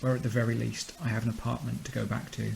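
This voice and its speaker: A man's voice: mid-range voice, flat delivery, fast, creaky. An actor playing a weary, bitter, detached man in a horror audio drama monologue. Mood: detached, dry. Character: weary, detached man trapped in a nightmare city. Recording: faint hiss behind the voice.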